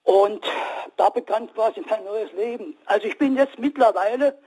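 A man in his sixties calling in to a radio show, telling his story haltingly with many restarts. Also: high voice, mellow, slightly rough, low-quality recording. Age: 60s. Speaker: caller. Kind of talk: call-in radio testimony.

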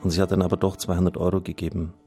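A man's voice: low voice, level tone, rapid-fire, mellow, faint music behind. A man, a priest hosting on radio, speaking in an earnest, plain-spoken way as he reads out listener messages and appeals for support. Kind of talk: reading out listener testimonials and appealing for donations on air.

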